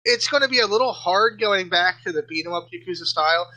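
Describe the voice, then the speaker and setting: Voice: medium pitch, quick pace, bright, forceful tone, rough recording. A podcast co-host chatting casually and enthusiastically about video games. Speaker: podcast co-host and game reviewer. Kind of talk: casual gaming podcast chat.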